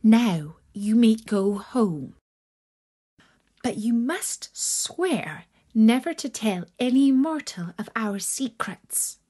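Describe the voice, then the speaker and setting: A woman voicing a small, solemn fairy lady in a storybook, giving stern instructions in a hushed, measured manner. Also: fairly high pitch, animated, breathy edge, full character voice. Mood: solemn, commanding.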